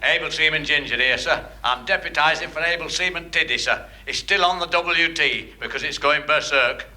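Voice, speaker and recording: A man playing an earnest, excitable able seaman in a radio sitcom, reporting formally and dutifully. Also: fairly high pitch, normal speed, noisy background, close to an everyday voice. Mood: urgent. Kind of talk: 1960s radio sitcom acting.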